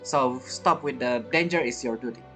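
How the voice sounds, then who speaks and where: Casual gaming commentary, chatty streamer, reactive, repeats phrases, loud-ish game soundtrack behind. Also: mid-range voice, normal speed, faint music behind. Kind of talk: casual let's-play commentary.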